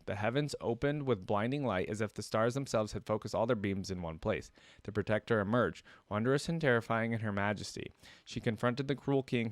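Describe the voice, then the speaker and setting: A man's voice: on the low side, no echo. A man hosting a reaction video, reading a story aloud and commenting casually in slangy asides.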